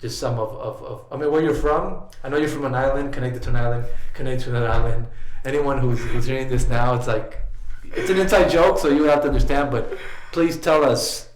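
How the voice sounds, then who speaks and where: A man's voice: mid-range voice, steady tone, brisk, hardly any pauses. A young man hosting a podcast in casual chat, joking and finishing his guest's sentences. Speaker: podcast host. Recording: echoey room.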